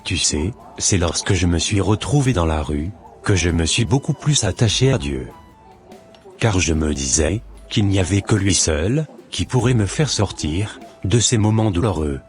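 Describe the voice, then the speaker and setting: Man voicing a low-pitched, devout, humble man. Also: lively intonation, normal speed, soft background music. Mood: earnest, reflective. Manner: pious, earnest, formal. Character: devout, humble formerly homeless man, earnest and grateful.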